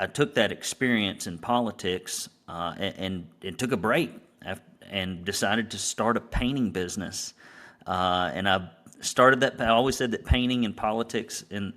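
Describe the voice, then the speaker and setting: A man's voice: fairly low pitch, a bit bright. A middle-aged man with a Southern US accent, talking conversationally as an interview guest and telling stories about his work. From Alabama, United States. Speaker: guest, business coach and painting academy founder.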